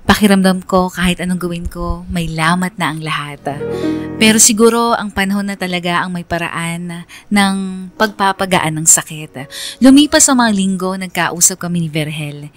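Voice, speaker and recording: Woman playing a heartbroken, reflective young woman, lowish voice. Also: a bit bright, natural voice. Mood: wistful. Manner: confessional, sincere. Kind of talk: first-person radio drama narration.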